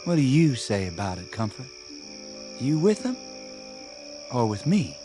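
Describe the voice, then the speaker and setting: A defiant young country fellow with a rural Southern drawl. He speaks slowly and plainly, his pitch swinging widely, stubborn and folksy, over prominent music in a radio play. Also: big pitch swings. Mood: earnest. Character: defiant young country man suspected of being undead. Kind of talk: radio drama acting.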